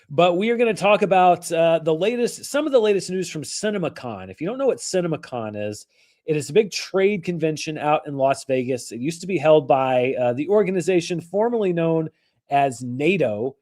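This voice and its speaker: A hoarse man hosting a casual live-stream about movie news, rambling and correcting himself as he goes. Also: on the high side, big pitch swings, fairly quick, a little mellow. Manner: rambling, self-correcting, chatty. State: hoarse from allergies.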